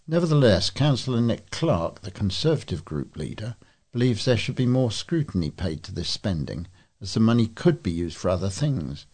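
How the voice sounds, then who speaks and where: A man's voice: fairly low pitch, sing-song, moderate pace, darkish tone. A man reading local news aloud from a script as a volunteer newsreader.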